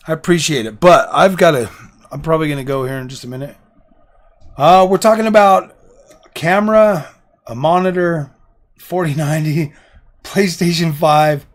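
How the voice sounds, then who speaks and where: Hoarse male streamer, rambling chat. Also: on the high side. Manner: rambling and indecisive, repeats himself. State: hoarse, losing his voice. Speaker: livestream host. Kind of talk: casual live stream chat with viewers. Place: home gaming room.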